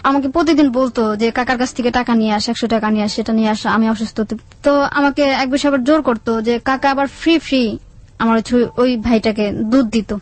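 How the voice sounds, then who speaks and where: A woman with a Bangladeshi accent tells a personal story in a radio interview, haltingly, repeating words and quoting what people said. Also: a little high, steady tone. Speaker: guest telling own life story. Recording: music under the voice. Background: background music.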